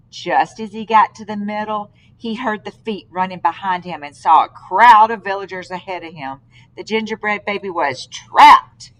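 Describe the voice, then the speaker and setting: A woman reading a children's story aloud in an expressive way, with dramatic, deliberate phrasing. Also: medium pitch, rough recording. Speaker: storybook reader.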